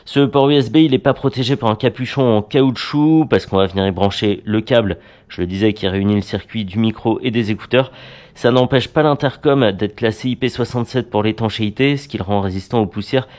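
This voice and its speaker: A man's voice: on the low side, brisk, darkish tone. A man presenting a product review in a conversational, matter-of-fact, unscripted way.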